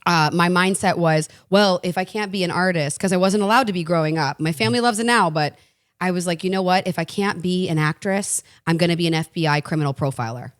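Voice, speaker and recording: Jokey excited woman podcast guest. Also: fairly low pitch, clear, resonant tone, dry recording, studio quality. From Connecticut, US East Coast. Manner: excited, jokey, quick asides. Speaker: guest, relationship coach. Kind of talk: casual podcast chat.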